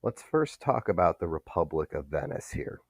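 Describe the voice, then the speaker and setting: A man's voice: low-pitched, big pitch swings, moderate pace, husky. A male history teacher giving a recorded lecture, explaining steadily with some fillers.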